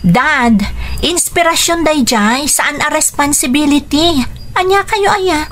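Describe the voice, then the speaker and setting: A woman's voice: high voice, slow pace, noisy background. An actress playing a caring young adult daughter in a radio drama, coaxing and concerned, slipping English into her talk. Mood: gentle coaxing. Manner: coaxing, mixes English into Ilocano. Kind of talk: Ilocano radio drama acting.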